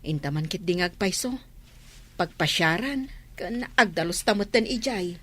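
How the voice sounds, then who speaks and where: A woman with a husky voice playing an eager, agreeable family friend in a drama. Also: lowish voice, lively intonation, normal speed, a bit bright. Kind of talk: radio-style drama dialogue.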